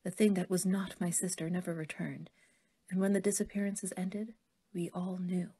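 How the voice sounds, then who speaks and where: Actress as older woman, motherly, anxious fairy believer, lowish pitch, breathy, audio play. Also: flat delivery, moderate pace. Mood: grave, haunted. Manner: gentle, motherly endearments. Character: worried kindly older woman, earnest believer in fairies. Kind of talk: audio drama acting.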